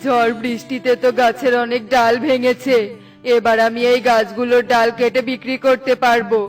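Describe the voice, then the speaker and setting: A woman voicing a good-hearted, excitable male pigeon woodcutter in a cartoon, earnest and full of exclamations. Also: fairly high pitch, moderate pace, faint music behind, natural voice. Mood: delighted. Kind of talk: cartoon voice acting.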